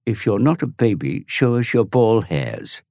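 A man's voice: mid-range voice, big pitch swings, moderate pace, darkish tone, no room sound, studio quality, voice pushed up. A man voicing a lordly, commanding teenage boy who bosses his younger brothers. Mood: dismissive.

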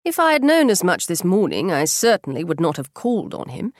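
A woman's voice: lowish voice, big pitch swings, no room sound. A woman doing a dry, wry old father. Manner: quiet, dry, measured.

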